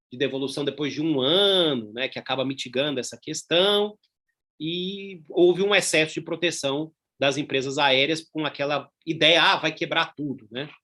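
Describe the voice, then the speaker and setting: A man's voice: a little high, bright, forceful tone. A middle-aged man with a Brazilian Portuguese accent giving a conversational law lecture, full of tag questions and asides. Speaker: law professor.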